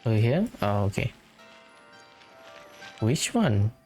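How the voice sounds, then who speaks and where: Casual, lively mid-pitched game player. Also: slow, soft background music. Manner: offhand, musing aloud. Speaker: video game player, commentator. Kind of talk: casual let's-play commentary.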